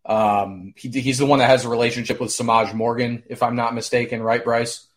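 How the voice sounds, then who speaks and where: A man, a recruiting analyst, talking sports casually in a measured way with frequent "uh" pauses. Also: mid-range voice, little pitch movement. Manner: measured, hedging with "uh".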